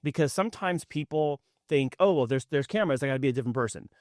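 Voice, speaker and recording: A man's voice: mid-range voice, lively intonation, fast, darkish tone, dry recording, clean studio sound. A man hosting a podcast interview, joking and rambling with frequent restarts. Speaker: podcast host. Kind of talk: conversational interview.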